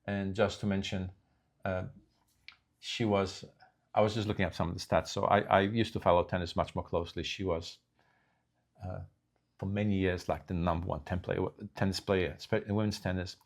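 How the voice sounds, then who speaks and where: Deep-voiced older man, halting and unhurried. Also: fairly even intonation. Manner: slow, halting delivery with many restarts. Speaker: co-host. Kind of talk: casual chat.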